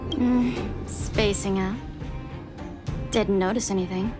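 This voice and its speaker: A woman voicing an aloof, laconic suspect in a video game, clipped and deadpan. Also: medium pitch, slow pace, over music, lightly acted. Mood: aloof, curt.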